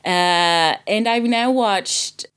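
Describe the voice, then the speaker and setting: A woman's voice: mid-range voice, extremely slow, fairly bright tone, smooth. A woman in her thirties from Sweden, a native Swedish speaker, chatting casually as a podcast guest. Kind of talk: casual chat.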